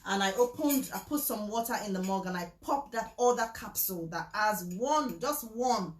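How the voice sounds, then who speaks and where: A woman's voice: medium pitch, animated, normal speed. Chatty woman, echoey room. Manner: chatty, emphatic, repeats herself, laughs often. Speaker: vlogger promoting supplements. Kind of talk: casual talk mixing a sales pitch with preaching.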